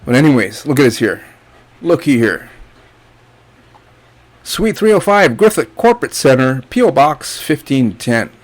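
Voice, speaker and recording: A blunt man from Guyana talks viewers through his screen in a casual, mocking rant, swearing as he goes. His voice sits at an ordinary middle pitch for a man. He sounds like an online creator talking straight to his audience. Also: sing-song, unhurried, pauses often. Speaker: online income youtuber.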